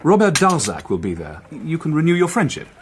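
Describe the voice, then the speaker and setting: A man's voice: a little high, wildly swooping pitch, a little hiss or hum. An actor playing a brilliant, cocky young male journalist and amateur detective in a radio drama, eager and teasing. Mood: teasing, persuasive. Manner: eager, brisk, self-assured, teasing.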